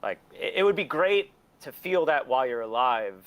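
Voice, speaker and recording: A man's voice: medium pitch, animated, moderate pace, clean tone. A man in his forties talking candidly and casually as an interview guest, blunt and opinionated, swearing often. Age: 40s. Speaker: interview guest, record label and museum owner.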